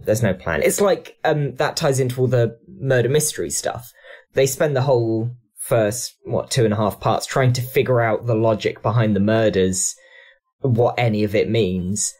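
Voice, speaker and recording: A man's voice: fairly low pitch, a little mellow. A man talking reflectively and analytically as a panellist on a discussion podcast. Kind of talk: discussion and review podcast talk.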